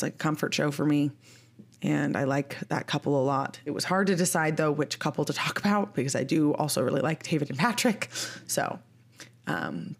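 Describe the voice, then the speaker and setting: Deep-voiced woman co-host, thirties, nasal from a cold, casual and self-mocking. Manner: chatty, laughs at her own complaints. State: congested from a cold, sniffly. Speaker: podcast co-host. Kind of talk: casual chat.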